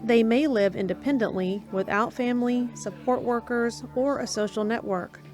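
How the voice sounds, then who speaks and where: A woman reading scripted documentary narration in a measured, even way with slow pauses. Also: a little high, faint music behind.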